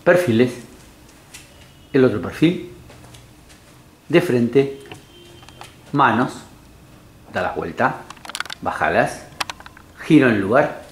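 A man's voice: mid-range voice, big pitch swings, slow pace, long pauses, a little hiss or hum. A man calling short, commanding directions at a casting session. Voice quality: curt, commanding. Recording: echoey studio room.

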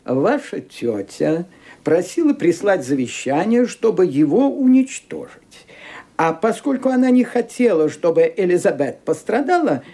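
An actor playing a dry, cautious lawyer in a radio play, who questions formally with hesitant pauses. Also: male voice, high voice, very animated, mellow, full character voice. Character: dry, cautious middle-aged male lawyer. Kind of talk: Soviet-era radio play acting.

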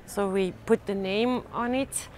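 A woman's voice: medium pitch, steady tone, a little mellow, a little hiss or hum. Woman giving a rooftop garden tour. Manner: halting, many uh fillers and restarts. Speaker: rooftop farm guide. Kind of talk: casual guided tour. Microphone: lapel mic.

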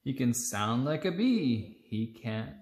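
A woman reading a children's picture book aloud, playful and expressive, acting out the sound words. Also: extremely low pitch, takes it slowly. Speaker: storytime reader. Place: indoors, seated close among children. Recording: roomy, echoey home recording.

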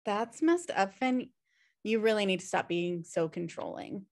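A woman's voice: mid-range voice, measured pace, natural voice. An American woman reading the part of a flippant, irresponsible twin sister in a sitcom script. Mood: wry. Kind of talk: sitcom script table read. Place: indoor room, on a video call. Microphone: video call microphone.

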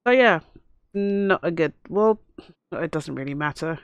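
A woman with a hoarse voice from a cough, chatting casually as she plays a life-sim game and talks to her characters. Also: low-pitched, expressive, unhurried, no room sound. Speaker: let's play host. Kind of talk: casual gameplay commentary.

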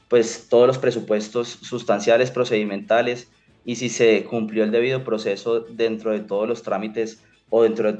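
A young man from Colombia, a lawyer, speaking in a formal, measured, careful way. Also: lowish voice, darkish tone, dull audio. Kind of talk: formal legal statement on a call-in interview. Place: small indoor room.

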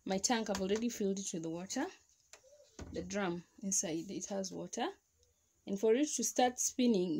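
A woman's voice: mid-range voice, a little mellow. Chatty woman explaining step by step. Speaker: vlogger. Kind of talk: casual home how-to demonstration. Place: indoors at home beside a washing machine.

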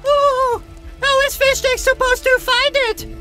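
A naive young fish prince, played by a woman, chirps in a very high, squeaky voice. He earnestly refers to himself in the third person, sounding sweet and childlike, with music playing behind. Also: little pitch movement, unhurried, poor audio. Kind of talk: fan-made animated short film.

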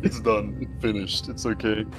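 A man's voice: fairly low pitch, level tone, normal speed. A man teasing his gaming partner in casual chat, swearing freely. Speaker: co-op gaming guest. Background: game music.

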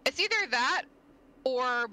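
Animated woman with a slight lisp. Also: high voice, unhurried. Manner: animated, playful, shouts at the game. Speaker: vtuber playing a game. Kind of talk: casual chat while gaming.

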